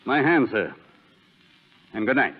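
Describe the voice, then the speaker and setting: A man's voice: mid-range voice, very animated, unhurried, a little hiss or hum. A man playing an injured, secretive stranger in 1930s radio drama, with a curt, formal manner. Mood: formal, grateful. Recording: muffled, phone-like 1930s radio recording.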